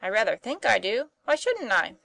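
A woman's voice: a little high, big pitch swings, moderate pace, gravelly, bright, forceful tone, pitched above the performer's own voice, exaggerated voice. A woman voicing a plucky, blunt young schoolboy in an audiobook, boyish and exclamatory in manner. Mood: bewildered, cheeky. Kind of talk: audiobook character voice.

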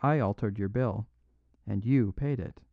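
A man voicing a humble little priest who speaks in a plain, unaffected, gently apologetic way. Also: lowish voice, unhurried, dry recording, phone-quality sound. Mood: gentle.

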